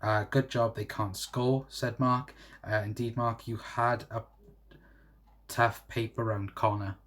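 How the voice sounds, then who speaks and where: A young Welsh man in his twenties hosts a casual livestream and chats loosely through viewer comments. His voice sits a little low. He rambles and fills pauses with "uh" and "to be fair". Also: level tone, fairly bright tone. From South Wales. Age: 20s. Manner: rambling, many fillers and "to be fair". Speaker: livestream host.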